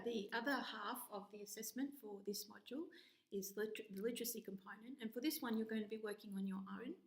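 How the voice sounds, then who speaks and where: A woman's voice: mid-range voice, roomy sound, low-quality recording. An Australian woman lecturer introducing a university course module in a chatty way, with frequent ums and restarts.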